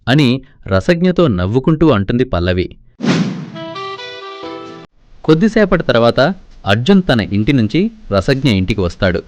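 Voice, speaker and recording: A man's voice: mid-range voice, very animated, normal speed, pauses often, a little mellow, faint music behind. A man reading scripted storybook narration.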